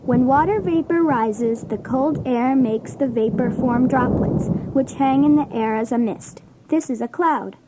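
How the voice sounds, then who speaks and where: A woman voicing an excitable, expressive schoolchild in a children's read-along story. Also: sits high, unhurried, few pauses, very noisy. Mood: eager reciting.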